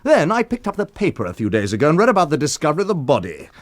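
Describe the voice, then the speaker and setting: An actor playing a cocky, drunken blackmailer in a radio drama, with a taunting, familiar, insinuating manner. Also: male voice, a little high, wildly swooping pitch, racing.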